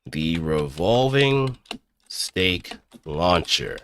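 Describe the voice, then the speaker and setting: A man streaming casually, thinking aloud and improvising as he goes, with hesitant pauses. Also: low voice, big pitch swings, a bit bright, clear, resonant tone. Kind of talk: casual rambling livestream commentary.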